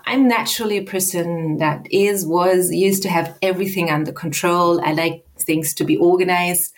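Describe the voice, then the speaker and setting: A woman from Austria in her thirties speaks in a lowish, measured voice. She tells her own story as a guest, pausing and restarting now and then as she goes. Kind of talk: personal account in an interview.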